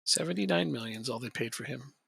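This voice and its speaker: A man's voice: mid-range voice, animated, fast, gravelly, a bit bright, slightly rough. A man chatting casually on a live stream while playing a football management game, rambling and joking and chuckling often.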